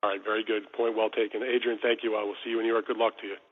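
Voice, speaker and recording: Fast-talking reporter on a phone line. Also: male voice, lowish voice, flat delivery, slightly rough. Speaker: sports reporter. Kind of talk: asking long interview questions on a conference call. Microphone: telephone. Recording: phone conference line, tinny and reverberant.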